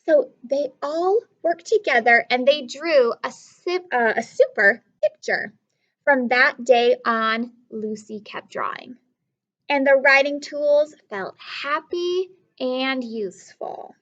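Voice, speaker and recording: A woman teacher reading a story aloud to children, expressively, pausing to instruct and question her young listeners directly. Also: high-pitched, fairly bright tone, muffled recording. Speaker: elementary school teacher.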